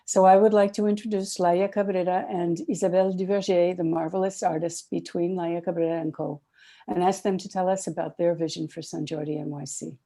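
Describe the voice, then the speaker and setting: A woman hosting an online festival, giving opening remarks and introducing the program in measured, formal phrasing. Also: on the low side, little pitch movement, vocal fry, warm tone.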